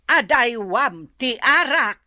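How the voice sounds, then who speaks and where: Slow, swooping, scolding Ilocano mother. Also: on the high side, expressive, sharp tone, no room sound, clean studio sound, full character voice. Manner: nagging, exclaiming. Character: scolding, exasperated mother of a grown debtor. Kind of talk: Ilocano radio drama acting.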